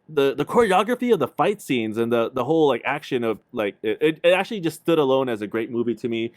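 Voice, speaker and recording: A man's voice: medium pitch, clean tone, no room sound, clean studio sound. A man co-hosting a casual movie chat, informal and slangy, often searching for his words. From Philippines. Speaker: podcast livestream co-host.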